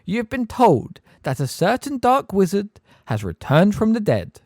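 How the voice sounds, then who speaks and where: Picture a prim, sugar-coated witch schoolteacher, put on by a man reading aloud, her voice pitched well up and swooping in a lively way. She speaks slowly and deliberately, with a cold edge under the sweetness. The storybook sound is close and dry. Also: dry recording, exaggerated voice. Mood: sugary stern. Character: sugary, cold, pompous middle-aged witch teacher.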